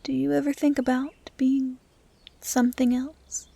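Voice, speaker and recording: A woman voicing a gentle, curious female dryad who guards the woods, speaking softly and often in a whisper in a roleplay audio drama. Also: high-pitched, little pitch movement, vocal fry, slight background noise. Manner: soft, often whispery, naive and measured. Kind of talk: roleplay audio drama, soft intimate acting.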